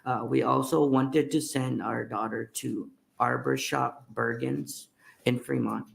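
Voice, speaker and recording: Gravelly-voiced man, Guatemalan-born. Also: medium pitch, steady tone. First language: K'iche'. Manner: halting, many fillers. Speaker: testifier at a hearing. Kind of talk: reading prepared testimony.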